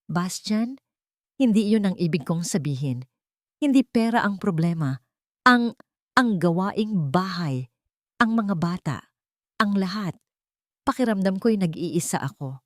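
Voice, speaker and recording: A woman's voice: mid-range voice, animated, unhurried, pauses often, no echo, studio quality, close to an everyday voice. Woman acting exhausted, pleading wife. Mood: weary, pleading. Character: exhausted wife and mother, hurt, pleading to be understood.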